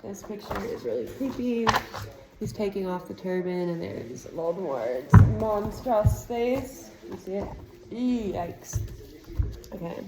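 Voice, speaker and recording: A woman reading a chapter book aloud to a child, with expressive narration and relaxed chatty asides. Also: medium pitch, takes it slowly, creaky, noisy background. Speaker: storybook reader.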